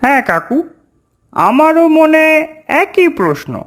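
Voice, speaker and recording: A man plays a curious young child in a horror cartoon. The voice is extremely high and lively, with an unhurried, inquisitive delivery. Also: heavily acted. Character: curious young child listening to uncle's ghost tale.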